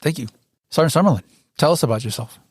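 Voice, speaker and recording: Measured podcast host, dry close sound. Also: male voice, fairly high pitch, breathy edge, no room sound. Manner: measured, with some restarts when unscripted. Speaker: podcast host, managing editor. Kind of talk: scripted intro, then interview questions.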